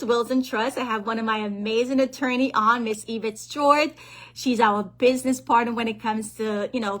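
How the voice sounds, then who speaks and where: A woman with a somewhat high voice gives a motivational talk on family finance. She is a financial planner and author who speaks over a call. She talks in a lively way and keeps checking in with her listeners. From Guyana.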